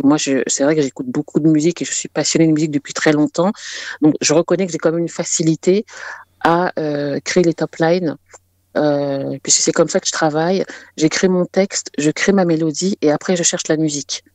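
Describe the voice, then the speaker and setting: A woman's voice: sits low, steady tone, brisk, a little mellow, no room sound. A woman singer-songwriter talking casually as an interview guest, with hesitant, conversational phrasing.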